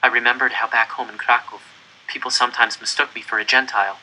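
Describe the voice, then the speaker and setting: Bright, forceful male storyteller. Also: medium pitch, a little hiss or hum, low-quality recording. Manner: expressive, deliberate storytelling. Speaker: audiobook reader. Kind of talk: dramatic first-person story narration.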